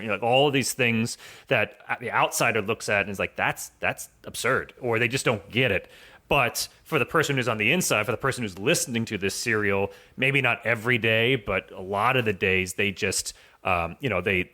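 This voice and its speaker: A man's voice: lowish voice, pushed and bright. A man speaking as a podcast guest and audio drama creator, explaining his points casually with comparisons. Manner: explanatory, uses analogies.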